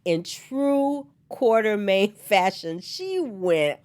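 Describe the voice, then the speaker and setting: A woman hosting an online soap opera recap on her own, slightly hoarse from a cold, animated and chatty and laughing often. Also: mid-range voice, very slow. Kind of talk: solo casual recap chat.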